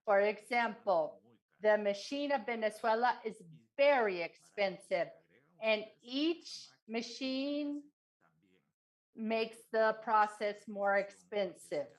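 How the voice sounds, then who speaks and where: A woman with a somewhat high voice interprets a formal forum speech. She goes very slowly, setting the content out in short, measured phrases with halting breaks between them. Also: low-quality recording.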